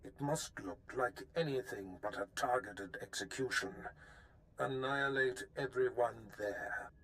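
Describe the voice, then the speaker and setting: A man playing a cold, scheming Sith lord in a video game, measured, menacing and condescending, with rumbling noise underneath. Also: fairly bright tone, low-quality recording. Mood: cold menace.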